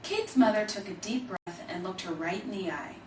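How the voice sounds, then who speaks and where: A woman reading a children's story aloud in an expressive, measured way, with emphasized phrases. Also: medium pitch. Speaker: Alzheimer's organization staffer reading a children's book. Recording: echoey room, distant mic, faint noise.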